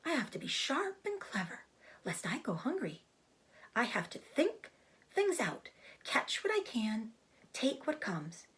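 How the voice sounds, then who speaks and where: A genteel female spider from a storybook, acted out by a woman, speaks in a thin, breathy voice that lifts high and swoops. She is self-assured and clever, explaining her trapper's ways with proud, matter-of-fact calm. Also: unhurried, full character voice. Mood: matter-of-fact, defensive.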